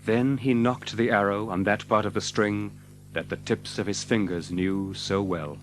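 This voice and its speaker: Low-voiced man narrating a dramatic tale. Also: slight background noise. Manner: expressive, measured literary delivery. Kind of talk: dramatic scripted storybook narration.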